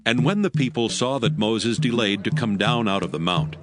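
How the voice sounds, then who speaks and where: A man's voice: on the low side, animated, moderate pace. A man narrating a dramatized scripture reading in a solemn, formal, scripted manner. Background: music.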